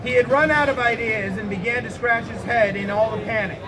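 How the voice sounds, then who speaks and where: A man's voice: very high-pitched, fairly even intonation, fairly quick. A man telling an improvised comic story to a live audience, amused and often breaking off into asides. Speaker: storyteller hosting an audience word game. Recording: loud crowd noise, roomy live event. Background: loud laughing crowd.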